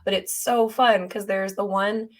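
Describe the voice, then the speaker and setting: A young woman with a hoarse, scratchy voice talks casually as a podcast co-host. She sits at a middle pitch and laughs easily, chatty and relaxed. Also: unhurried. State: hoarse, losing her voice. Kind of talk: casual chat.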